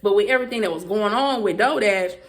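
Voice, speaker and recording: A Southern woman speaking to her viewers in a heated casual commentary, talking fast and emphatically with frequent "okay"s and restarts. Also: medium pitch. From Southern United States. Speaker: YouTuber.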